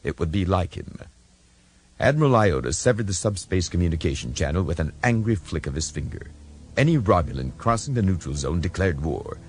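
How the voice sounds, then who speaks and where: A man's voice: sits low, very animated, fairly quick, husky. A man reading dramatic scripted narration as an audiobook narrator.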